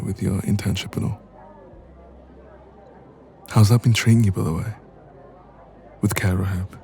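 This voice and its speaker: Man acting a low, creaky, anxious young man. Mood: casual, teasing. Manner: hesitant, soft-spoken, trailing pauses. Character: insecure, anxious young man confiding in a friend. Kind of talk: intimate ASMR audio roleplay.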